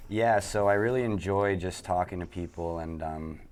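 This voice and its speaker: Very deep-voiced man. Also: moderate pace, darkish tone. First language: Italian. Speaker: web design company partner. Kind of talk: casual interview talk.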